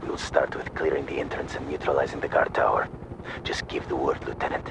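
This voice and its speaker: A terse Soviet soldier in a war game reports in by radio. The voice is extremely deep and flat. Very loud engine noise crowds it. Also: male voice, a little fast, creaky. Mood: terse, focused. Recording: very loud aircraft and vehicle noise.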